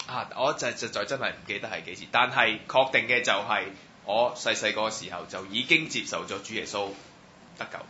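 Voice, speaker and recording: A man interpreting a testimony line by line at church, halting with many fillers. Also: on the low side, sharp tone. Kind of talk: live sentence-by-sentence translation. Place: church hall. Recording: roomy, muffled hall sound with faint noise.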